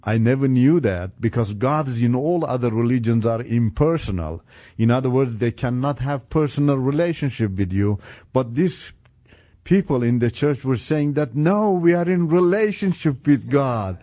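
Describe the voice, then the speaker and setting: A middle-aged man from Iran, an English speaker, sharing his story as a radio guest in an earnest, halting way with many restarts. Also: mid-range voice, expressive, warm tone, no echo, studio quality. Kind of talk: personal testimony on radio. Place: radio studio.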